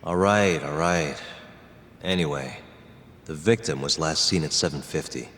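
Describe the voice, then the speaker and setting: An actor playing an earnest, determined young lawyer in a video game. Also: male voice, rumbling low, unhurried, fairly bright tone, a little hiss or hum.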